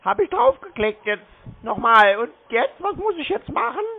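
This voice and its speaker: A person talking slowly and naively on a phone call, sounding confused, literal and repetitive. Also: mid-range voice, very animated. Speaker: prank callee stringing along a scam caller. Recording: muffled, band-limited phone call.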